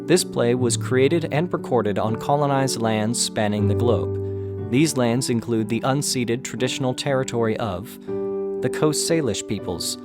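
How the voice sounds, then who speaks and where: Mid-pitched male announcer over guitar music. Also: measured pace. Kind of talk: scripted narration. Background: plucked guitar music.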